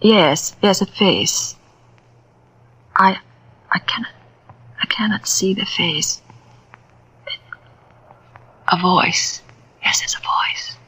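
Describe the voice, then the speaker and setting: An actress playing a persuasive spiritualist medium who narrates, intimate and theatrical, sometimes dropping to a whisper, in 1940s radio acting. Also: female voice, mid-range voice, drawn-out words, pauses often, sharp tone, husky, heavily acted, rough recording. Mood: trance-like.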